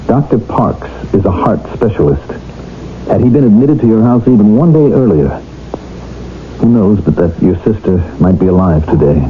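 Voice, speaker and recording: An actor playing a middle-aged doctor who tells his tale in a measured, grave radio drama style. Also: fairly low pitch, lively intonation, slight background noise, close to an everyday voice. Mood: grave, reflective. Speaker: narrator and doctor character. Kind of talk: radio drama acting, storytelling. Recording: dull lo-fi old radio broadcast.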